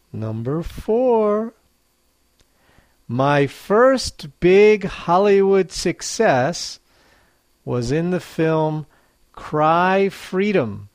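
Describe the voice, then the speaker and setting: A teacher running a guessing quiz for learners, reading each clue slowly and clearly, then repeating it. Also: mid-range voice, no echo. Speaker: English teacher. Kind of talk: slow quiz dictation for learners.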